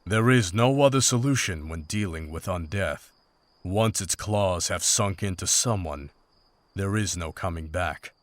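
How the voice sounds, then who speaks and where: Guy acting as a stern old monster hunter, low voice, formal and measured, fantasy game style, very dry recording. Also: no room sound. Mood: cold, resolute. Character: stern, pragmatic older male monster hunter. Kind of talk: dramatic fantasy visual novel acting.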